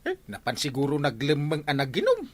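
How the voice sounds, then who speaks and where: A man's voice: a little high, huge pitch swings, a little fast, no echo. A man with an Ilocano accent playing a defensive, forgetful henpecked husband in a village comedy drama.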